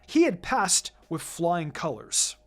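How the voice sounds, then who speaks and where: A narrator reading a fan fiction story aloud in an expressive, scripted storytelling style. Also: medium pitch, slow. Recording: music behind the voice. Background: background music.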